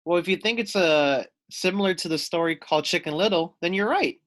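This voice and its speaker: A man's voice: high voice, fairly quick, a bit bright. A man reading a storybook aloud like a teacher, expressively, talking directly to young listeners. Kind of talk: storybook read-aloud with questions for children.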